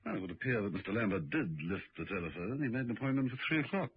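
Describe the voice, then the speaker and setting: An actor playing a suave, wry gentleman secret agent in a radio drama, urbane and dryly teasing. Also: on the low side, big pitch swings, very fast. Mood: wry musing. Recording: dull, noisy old radio recording.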